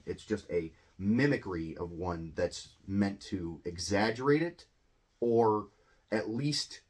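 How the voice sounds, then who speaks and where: A man's voice: on the low side, husky. A man, a native English speaker, casually explaining a language lesson in a rambling way, with many fillers and restarts. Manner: rambling, filler-heavy, self-correcting. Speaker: English teacher.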